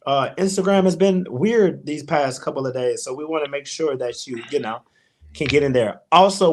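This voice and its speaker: Chatty guy hosting a podcast, hyped, plugging socials and checking in on everyone. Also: on the high side. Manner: upbeat, promotional, quick asides. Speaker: podcast host.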